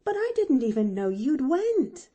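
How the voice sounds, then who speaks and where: Woman voicing a flustered, folksy housemaid, pitched up. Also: animated, a little slow, mellow, breathy edge. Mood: breathless relief. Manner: folksy, ungrammatical, exclaiming. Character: flustered, kindly young country housemaid, plain-spoken and dogged.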